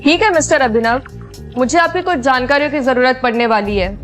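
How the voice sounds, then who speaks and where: A firm, guarded young office woman in an audio drama. Her voice is high, her delivery brisk and formal, and music plays clearly behind her. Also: fairly bright tone.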